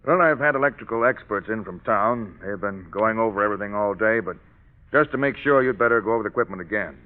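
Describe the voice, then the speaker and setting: An actor playing an earnest, authoritative prison warden in vintage radio drama, with a formal, measured delivery. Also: male voice, on the low side, close to an everyday voice. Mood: official, grave. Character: earnest authoritative male prison warden, businesslike, somewhat jumpy. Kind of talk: 1930s-40s radio drama acting. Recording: tinny narrow-band vintage radio recording.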